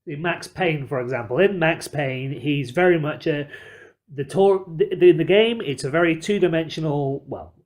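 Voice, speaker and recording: A man's voice: on the high side, normal speed, a little mellow. A man co-hosting a podcast, working through his arguments in a casual, discursive way. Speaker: podcast co-host.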